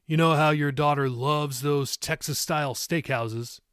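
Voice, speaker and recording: A man's voice: fairly high pitch, steady tone, unhurried, a bit bright, clean tone, dry recording, studio quality. A man voicing a jokey, affectionate young father in an audiobook story. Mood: joking, affectionate.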